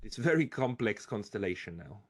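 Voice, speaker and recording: A man hosting a conversational political interview, with hesitant, halting phrasing. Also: fairly low pitch, big pitch swings, crowd noise. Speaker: interviewer, host.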